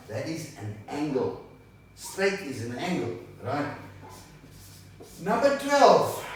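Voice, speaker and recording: A man's voice: fairly high pitch, a little hiss or hum. Older man lecturing in an echoey room. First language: not English. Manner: animated, gestures and draws while explaining, asks "right?" often. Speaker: dog breed instructor. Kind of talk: whiteboard lecture. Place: small indoor room by a whiteboard. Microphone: camera a few meters away. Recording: echoey room, mic at a distance.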